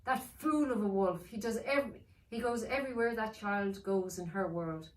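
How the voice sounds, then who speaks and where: A woman playing a bullying dark villain in a storybook, contemptuous and commanding toward a servant. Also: medium pitch, moderate pace, voice pushed up, close to an everyday voice. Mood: irritated. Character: scornful, bullying dark villain, master of an elf. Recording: roomy, distant mic with faint noise.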